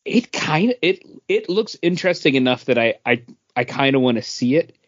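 A man's voice: mid-range voice, expressive, a little fast, dry recording, lo-fi sound. A man co-hosting a casual podcast, talking in an easygoing way and laughing often. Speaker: podcast co-host.

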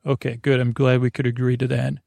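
Creaky-voiced man, meandering bedtime ramble. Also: mid-range voice, monotone, a little mellow, no room sound. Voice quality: creaky, gravelly. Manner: meandering, halting, trailing off into tangents. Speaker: podcast host. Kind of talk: rambling bedtime podcast monologue.

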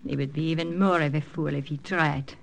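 A woman's voice: deep voice, fairly even intonation, brisk, gravelly. An actress playing a teasing, seductive woman in a 1940s radio drama. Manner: coy, teasing, sprinkles French phrases.

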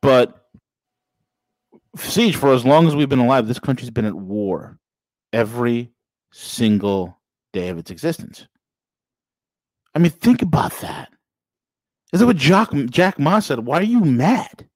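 A man's voice: mid-range voice, big pitch swings, measured pace, long pauses, a little mellow, no echo. A man in his forties hosting a casual political podcast, speaking straight to listeners with preachy, rising emphasis. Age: 40s. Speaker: podcast host.

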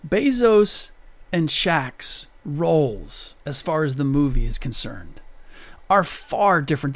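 A man delivering an opinion monologue, deliberate and emphatic, pressing hard on his key points. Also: a little high, dry recording. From United States. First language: German. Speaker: commentator.

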